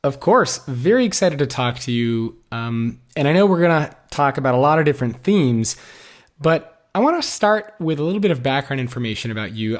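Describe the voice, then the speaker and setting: A man hosting a podcast, reading a scripted intro and then interviewing his guest in an upbeat way. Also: mid-range voice. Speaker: podcast host.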